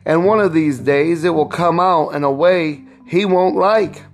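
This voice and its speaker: A man plays an aggrieved young husband in a storybook, proud and defiant. The pitch is pushed up with insistent emphasis, and the delivery stays slow. Faint music runs underneath. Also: natural voice. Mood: bitter, fed up. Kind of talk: storybook read-aloud.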